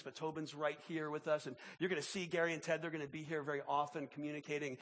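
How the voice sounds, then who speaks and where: A man preaching as pastor in a church, sick, with his voice deeper than normal, repeating and restarting words as he pleads with the congregation. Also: fairly bright tone, in a large hall. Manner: repetitive restarts, emphatic pleading. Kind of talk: sermon with opening prayer and announcements. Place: large church auditorium.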